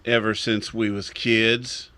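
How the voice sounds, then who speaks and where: Man acting a slow, blunt cowboy. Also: lowish voice, flat delivery, sharp tone, no echo. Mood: blunt, accusing. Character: plain-spoken cowboy testifying against a prisoner. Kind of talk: radio western acting.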